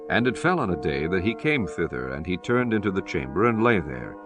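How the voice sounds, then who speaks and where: A man's voice: sits low, very animated, a little fast, music in the background. A man reading solemn, scripted scripture narration for a dramatized audiobook.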